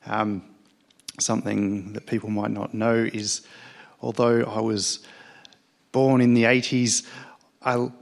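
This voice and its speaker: A man in his thirties with a low, gravelly voice speaks slowly on a church panel. He tells his own story in a halting way, with many pauses and fillers. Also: flat delivery. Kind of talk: personal testimony at a church panel.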